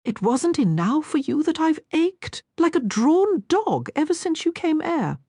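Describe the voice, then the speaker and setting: Brooding Cockney rogue, strained commanding delivery, read by a woman narrator, pitched up, dry studio read. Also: sing-song, a little slow, darkish tone, no echo, exaggerated voice. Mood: snarling. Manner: strained, commanding, hoarse-edged. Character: rough, brooding gaming-club owner, adult man.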